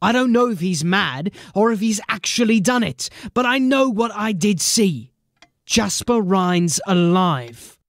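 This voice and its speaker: A man playing a determined, embattled police sergeant in a dramatic audio drama, speaking earnestly and deliberately. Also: sits high, expressive, fairly bright tone, dry recording, clean studio sound, full character voice. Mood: grave, insistent.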